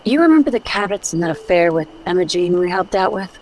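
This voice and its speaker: A woman's voice: on the low side, lively intonation, fast talker, a little mellow, slight background noise, natural voice. An actress playing a blunt, world-weary female adventurer in a radio detective drama. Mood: guarded. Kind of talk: old-time radio drama acting.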